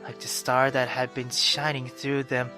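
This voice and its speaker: A man's voice: mid-range voice, measured pace, music in the background. A man reading a visual novel aloud theatrically while playing it, breaking into casual, sweary commentary. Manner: theatrical, expressive, swears freely in asides. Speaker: video game player reading aloud with commentary. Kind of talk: dramatic visual novel narration with casual reactions.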